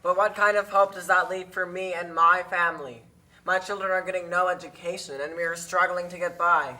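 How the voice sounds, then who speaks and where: A woman acting as a struggling farmhand father in a puppet show. The voice is bright and on the low side for a woman, with an earnest, pleading manner. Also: fairly even intonation, fairly quick, distant mic, rough recording. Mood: worried, sad. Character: worried, struggling plantation worker father.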